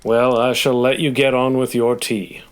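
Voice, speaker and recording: A man playing a gruff but kindly old grandfather, formal and measured, in an audio play. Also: mid-range voice, level tone, close to an everyday voice.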